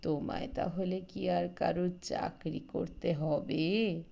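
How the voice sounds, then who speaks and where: A woman's voice: lowish voice, fairly even intonation, slow pace, creaky, mellow, muffled recording, full character voice. A woman voicing a talkative old village man, folksy, coaxing and boastful, in an audio story. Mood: persuasive.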